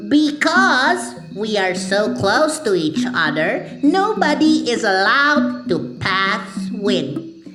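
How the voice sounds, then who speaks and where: A tiny trickster mouse deer, as a woman acts it out, talks slowly in a high, lilting voice that swoops up and down. The voice is coaxing and crafty, set in a roomy echo with music underneath. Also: sing-song. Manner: coaxing and crafty, bouncy lilt.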